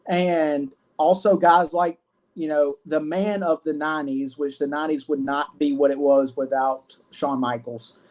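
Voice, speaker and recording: A man's voice: a little high, fairly even intonation, measured pace, a little mellow. A man in his twenties, a wrestling guest answering earnestly and often repeating himself. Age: 20s. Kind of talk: casual interview.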